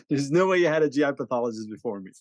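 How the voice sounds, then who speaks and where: A man's voice: medium pitch, animated, fairly quick, dry recording. A middle-aged man from Egypt, a guest pathologist on a video call, explaining earnestly and repeating words as he starts. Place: home office with bookshelves.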